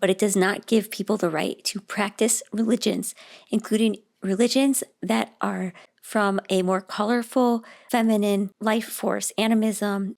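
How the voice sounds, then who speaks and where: A woman narrating a vlog in an earnest, steady way, her voice raspy and hoarse from losing it. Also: mid-range voice. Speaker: video host.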